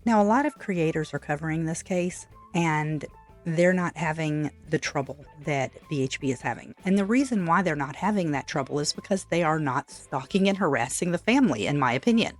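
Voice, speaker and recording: Low-pitched woman, measured commentary. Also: animated, faint music behind. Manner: measured, matter-of-fact, corrective. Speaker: reaction commentator. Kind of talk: opinion commentary over played clips.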